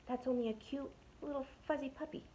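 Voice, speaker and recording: A woman voicing a silly, cheerful male burglar in a children's storybook, speaking in a hushed, cooing, high voice. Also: steady tone, a little fast, slight background noise, close to an everyday voice, low-quality recording.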